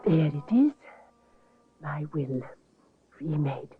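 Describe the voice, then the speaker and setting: An actress in a 1950s radio drama playing an earnest, gentle grown daughter, heard through a tinny old broadcast. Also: female voice, deep voice, big pitch swings, slow pace, soft background music, full character voice.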